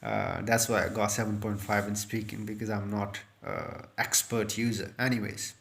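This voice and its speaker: A man's voice: on the low side, flat delivery, normal speed, creaky, fairly bright tone. Urdu-native male tutor, 30s, off-the-cuff phone-camera lesson, earnest, lots of "uh" and restarts. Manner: earnest, repetitive, with many fillers and restarts. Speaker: IELTS speaking tutor. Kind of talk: unscripted tutorial monologue to camera. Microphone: phone held close, front camera.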